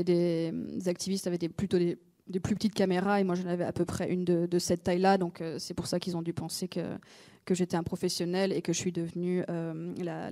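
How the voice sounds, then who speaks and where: Woman interpreter, low mellow voice, pretty monotone, some euhs. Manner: steady, with frequent fillers. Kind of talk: consecutive interpreting. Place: conference centre.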